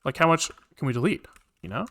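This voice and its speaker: A man's voice: a little high, animated, quick pace, gravelly, a bit bright, dry recording. A man livestreaming some programming, casually thinking aloud, muttering to himself, repeating phrases and swearing now and then. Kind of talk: casual live coding commentary, thinking aloud. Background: computer keyboard typing and clicking.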